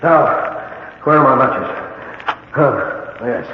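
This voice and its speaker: An actor playing an authoritative, headstrong skeptical judge, speaking formally and emphatically in old-time radio drama. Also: male voice, mid-range voice, expressive, takes it slowly, noisy background. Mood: grave. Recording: tinny, echoey old radio recording.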